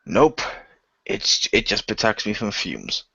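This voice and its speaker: A man's voice: on the low side, very animated, takes it slowly, creaky, pushed and bright, husky, no room sound, whispered, highs cut off. A man taking part in a casual, improvised radio play as a cast member.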